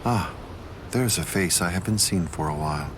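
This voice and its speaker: A man playing a calm, superior villain in an anime game dub, polite and detached with a very deep voice. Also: normal speed, heavy background noise, close to an everyday voice. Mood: amused calm. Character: calm, cold, superior male villain mastermind.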